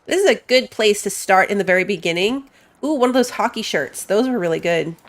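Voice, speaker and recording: A woman's voice: medium pitch, animated, a little fast. A woman giving casual live commentary as she plays a game, chatting and thinking aloud. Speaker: game streamer.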